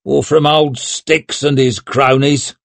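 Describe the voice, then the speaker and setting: A man's voice: on the high side, sing-song, a little slow, creaky, breathy edge, pitched above the performer's own voice, heavily acted. A man voicing an indignant old lord who grumbles and growls in an audiobook. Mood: sour.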